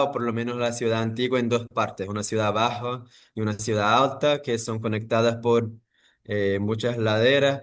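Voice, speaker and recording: A man, talking in a thoughtful, halting way with many pauses and restarts as an interview guest. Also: medium pitch. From Brazil. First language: Portuguese. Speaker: architect guest. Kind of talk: interview conversation.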